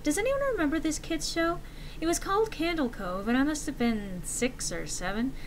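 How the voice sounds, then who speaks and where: A woman voicing an excitable forum poster reminiscing about an eerie show, animated and emphatic in a dramatic reading. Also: sits high, normal speed, slight background noise, pitched above the performer's own voice, low-quality recording. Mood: excited recall.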